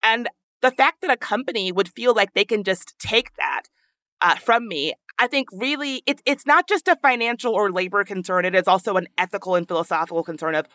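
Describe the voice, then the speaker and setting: A woman with a high voice, hosting a podcast in casual, earnest discussion, thinking aloud with frequent restarts. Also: fairly quick, sharp tone, no room sound, clean studio sound. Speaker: podcast host.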